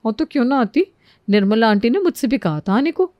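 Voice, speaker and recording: Woman as a chirpy high-pitched young neighbour. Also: expressive, a little fast, no echo, dull audio, natural voice. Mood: chirpy, coaxing. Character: cheeky young neighbour wife, flattering and snack-hungry. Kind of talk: Hindi animated moral story acting.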